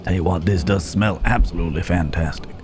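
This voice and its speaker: A man playing a gallant yet menacing Wild West cowboy in an intimate ASMR roleplay, unhurried, with pauses and folksy old-fashioned phrasing. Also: sits low, background noise, natural voice. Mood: genial. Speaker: voice actor in an audio roleplay.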